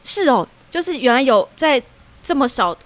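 A woman with a Taiwanese accent telling her story in an animated way as a guest in a casual podcast chat. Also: high-pitched, moderate pace. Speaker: guest, theatre writer and performer.

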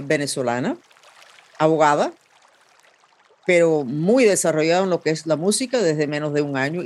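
A woman's voice: deep voice, a little mellow. Podcast host woman. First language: English. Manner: rambling, meandering with restarts and fillers. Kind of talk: casual podcast conversation.

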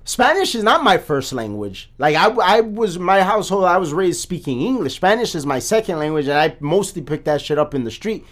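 A man co-hosting a podcast, talking in casual chat, animated and swearing, full of restarts. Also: on the high side, brisk, hardly any pauses. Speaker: podcast co-host.

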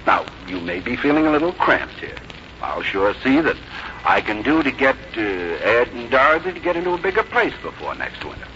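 A man's voice: mid-range voice, sing-song, brisk, sharp tone. An actor playing a middle-aged son who fusses over his mother in a folksy, solicitous, hesitant way, in 1950s radio drama style. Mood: placating. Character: fussing, reassuring grown son, fond of his mother. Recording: dull, noisy 1950s radio recording.

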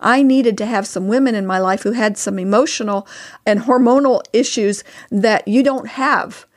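A woman's voice: a little high, moderate pace. Earnest older woman in conversation. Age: older, past sixty-four. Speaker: podcast guest, author and co-host spouse. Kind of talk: casual advice conversation.

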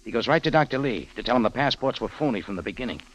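A man's voice: mid-range voice, animated, racing, highs cut off, lightly acted. An American man playing a tough, wisecracking adventurer and narrator in a 1940s radio detective drama, with a clipped, brash manner. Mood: grim, pointed. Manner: clipped, brash, hard-boiled. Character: tough, wisecracking private adventurer in Cairo, hard-boiled narrator. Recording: old radio broadcast with music.

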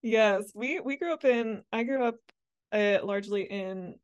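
A woman's voice: on the high side, measured pace, clean tone, no echo, highs cut off. A young woman from the Netherlands who grew up in Mississippi, chatting as an interview guest in a light, playful way with many fillers. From Netherlands, raised in Mississippi. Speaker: interview guest, motorcycle rider. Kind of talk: casual interview chat.

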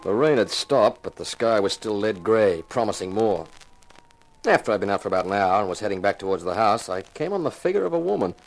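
A cool-headed, hard-boiled investigator with a lowish voice. He is wry and matter-of-fact, both narrating and acting in 1950s radio detective style. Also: lively intonation, brisk, poor audio. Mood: tense, narrating. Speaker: narrator and lead.

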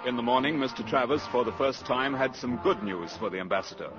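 An actor narrating a wartime spy drama in a formal, measured 1950s radio style. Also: male voice, mid-range voice, over loud music, lightly acted. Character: grave storyteller of a wartime spy tale. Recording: dull 1950s radio broadcast.

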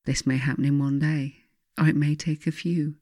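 A woman reading her own spiritual self-help book as scripted narration, speaking deliberately and earnestly straight to the listener. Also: low-pitched, flat delivery, darkish tone, no echo, clean studio sound.

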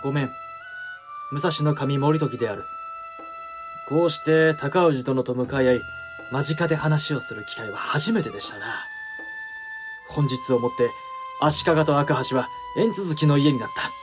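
A man's voice: a little high, a little slow, pauses often, music in the background, close to an everyday voice. A man playing a dignified, frank samurai clan head and protective elder brother in a Japanese period audio drama, with stately archaic speech. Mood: courteous, dignified.

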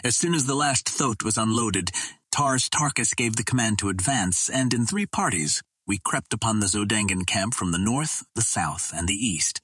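A man reading a vintage adventure novel as a narrator, in a formal, measured storytelling manner. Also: lowish voice, lively intonation, fairly bright tone, slightly rough, dry recording. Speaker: audiobook narrator.

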